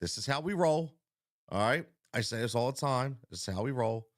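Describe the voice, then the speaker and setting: Male betting-show host, congested, hype delivery, average pitch, dry mic. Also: normal speed, dry recording. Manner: energetic, repetitive hype, talks straight to viewers. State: congested from a cold. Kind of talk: live-stream betting talk.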